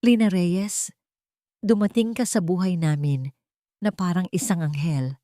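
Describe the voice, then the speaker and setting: A woman's voice: on the low side, measured pace, mellow, no room sound, lightly acted. Audio drama role: woman reading as a widowed father in love, solemn, tender and sincere, very dry close sound.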